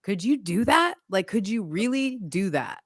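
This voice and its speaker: A woman's voice: mid-range voice, very animated, normal speed, no room sound, clean studio sound. A woman hosting a podcast, talking frankly and probing her guest, stretching words for emphasis. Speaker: podcast host. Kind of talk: direct podcast conversation.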